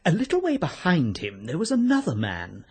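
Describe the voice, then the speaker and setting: An actor playing a chatty, self-important older chemist with an English accent and a fussy, precise manner in a radio drama. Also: male voice, on the high side, wildly swooping pitch, normal speed, darkish tone, slightly rough, exaggerated voice. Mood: eager, confiding. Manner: fussy, precise, hesitant openings.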